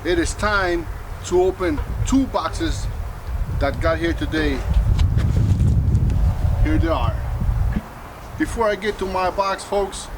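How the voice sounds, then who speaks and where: A man's voice: on the high side, slow, long pauses, noisy background. A man in his fifties hosting a casual vlog outdoors, talking in short, folksy exclamatory phrases. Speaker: vlog host. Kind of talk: casual unboxing vlog talk. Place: outdoor garden patio beside a fruit tree.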